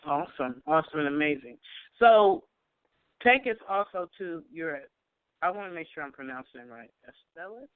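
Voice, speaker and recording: A woman's voice: low-pitched, animated, normal speed, smooth, no echo. Eager woman host on a phone line. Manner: enthusiastic, rambling restarts. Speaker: podcast host. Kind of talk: casual interview. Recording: tinny phone line, dry.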